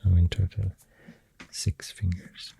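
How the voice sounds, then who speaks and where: A soft-spoken ASMR artist with a Swedish accent, rambling in a hushed, halting, breathy half-whisper while drawing. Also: low voice, level tone, a little hiss or hum. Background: pencil writing and drawing sounds.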